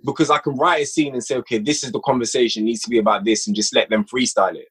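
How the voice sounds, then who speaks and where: A man's voice: mid-range voice, fairly even intonation, rapid-fire, slightly rough. A man speaking casually as an interview guest on a podcast, an audio drama writer who repeats phrases for emphasis.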